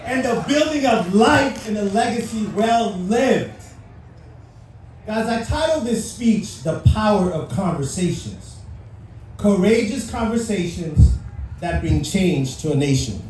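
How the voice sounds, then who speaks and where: A man's voice: high-pitched, lively intonation, slow, darkish tone. A middle-aged man from Haiti, a native French speaker, giving a motivational speech to live listeners with questions and playful asides. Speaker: guest speaker at a civic event. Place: large echoey venue with live audience. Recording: echoey venue, distant mic, faint noise.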